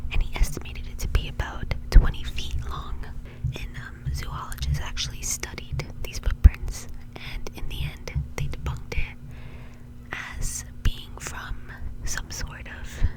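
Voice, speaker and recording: Whispering woman telling stories, ASMR style. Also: poor audio. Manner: informal, conversational, hedging with "like". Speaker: ASMR video creator and host.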